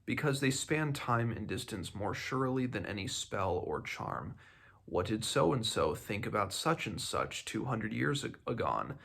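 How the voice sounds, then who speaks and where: A man reading aloud as a learned fantasy mentor, speaking in a measured, emphatic, bookish way. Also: lowish voice, big pitch swings, vocal fry, fairly bright tone, breathy edge, heavily acted. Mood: earnest, teaching. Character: learned doctor mentor explaining books as magical traps. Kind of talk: fantasy novel read aloud.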